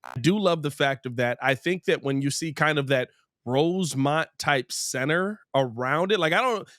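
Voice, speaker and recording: Casting: American male sports podcast host, slightly high register, casual, emphatic, rhetorical questions, very dry recording. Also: moderate pace, fairly bright tone, no echo. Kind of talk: casual opinionated sports chat.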